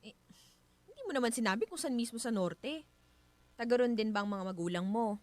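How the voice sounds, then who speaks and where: A woman's voice: on the high side, lively intonation, a little fast, a little mellow, natural voice. A woman acting as a curious, teasing married woman with a Filipino accent in a radio drama. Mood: prodding. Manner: teasing, inquisitive, chatty. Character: curious, playful, prying married woman hosting a guest.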